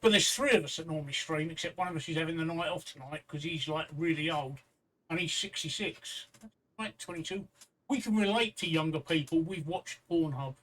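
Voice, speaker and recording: A man's voice: a little high, fairly quick, a bit bright. A man in his sixties chatting casually as co-host of a livestream talk show, rambling and joking, repeating himself often. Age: 60s. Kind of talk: casual chat. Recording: voice somewhat far from the mic.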